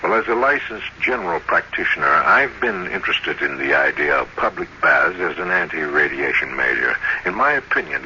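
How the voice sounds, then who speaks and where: A man acting a probing, authoritative doctor, deliberate and instructing, in a muffled, noisy 1950s radio drama. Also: low voice, bright, forceful tone, full character voice. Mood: careful, grave. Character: probing, authoritative male doctor treating a patient. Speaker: doctor, therapist.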